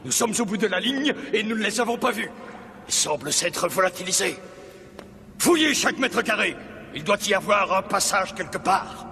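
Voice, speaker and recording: A domineering cartoon villain with a gravelly edge and a high-set voice. He speaks imperiously, in long reverb, with music underneath. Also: lively intonation, moderate pace, pushed and bright, exaggerated voice. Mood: frustrated, commanding. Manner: imperious, dramatic. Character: domineering male cartoon villain, commanding hunters. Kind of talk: French-language animated series dub. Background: cartoon soundtrack music.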